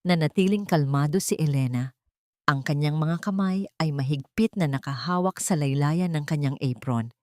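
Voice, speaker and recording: A woman's voice: sits low, moderate pace, mellow, no echo, studio quality. A woman narrating a dramatic love story from a script, in storytelling style.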